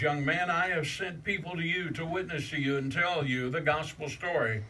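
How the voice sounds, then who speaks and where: A man's voice: a little high, bright, forceful tone, a little hiss or hum. A man playing a solemn heavenly judge. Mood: stern. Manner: grave, formal pronouncements. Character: solemn divine male judge on a throne. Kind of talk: church stage drama. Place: church stage set, indoors. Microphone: distant camera mic. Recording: distant stage voice.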